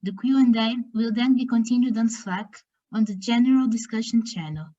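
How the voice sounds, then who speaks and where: A woman hosting an online meeting, giving a smooth, organized welcome and introducing the speakers. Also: on the high side, a little fast, dark tone, dull audio. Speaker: session host. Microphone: video call.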